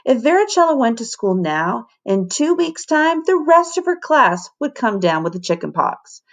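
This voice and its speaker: Lady reader as worried protective mom in a kids book, explaining and warning, slightly high voice. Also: big pitch swings, normal speed. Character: protective, anxious mother of a small child.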